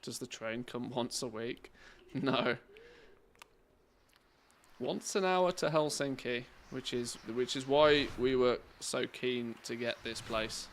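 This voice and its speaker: A young man chats loosely with his viewers while he plays, rambling from one story to the next. His voice is gravelly and sits at an ordinary pitch, with a faint hum running underneath. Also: fairly bright tone. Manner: chatty and rambling, grumbles at the game. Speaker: streamer. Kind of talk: casual chat while playing a game.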